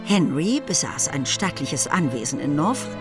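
A woman narrating a German literary radio play from a script, in a wry, expressive manner. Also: sits low, normal speed. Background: music.

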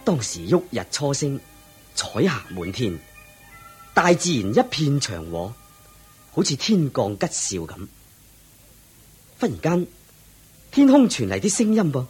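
A man's voice: mid-range voice, huge pitch swings, unhurried, pauses often, darkish tone, slightly rough, faint music behind. A man narrating a scripted story.

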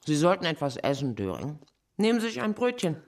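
A wry police investigator played by a male actor in a crime drama. The voice is on the high side and very lively in intonation, matter-of-fact yet needling. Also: fairly quick. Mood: wry, practical. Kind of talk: German crime radio drama acting.

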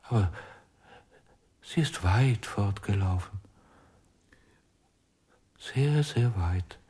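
An actor playing an anguished, broken ventriloquist in a radio crime drama, speaking haltingly in a breathy voice and repeating himself in desperation. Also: male voice, on the low side, expressive, a little mellow, full character voice. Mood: broken, lost. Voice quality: husky, breathy. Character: anguished, broken male ventriloquist pleading with his puppet.

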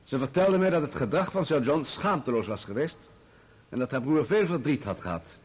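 A self-righteous newspaperman testifying in a radio drama. The voice is husky and moves freely in pitch, while the delivery stays formal, measured and sure of itself. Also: animated. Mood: assured. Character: self-righteous, firm male journalist testifying as witness. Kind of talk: radio play courtroom acting.

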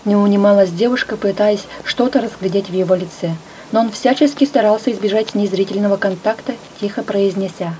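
Female storyteller, first-person narration, mellow voice, expressive delivery, light background hiss. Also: medium pitch, fairly quick, rough recording. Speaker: audiobook narrator.

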